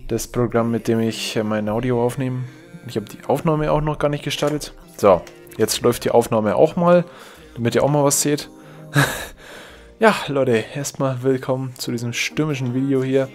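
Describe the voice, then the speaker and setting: A young man from Germany, a gaming YouTuber chatting casually over his gameplay, rambling and correcting himself, reacting loudly to the game. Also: medium pitch, normal speed, faint music behind.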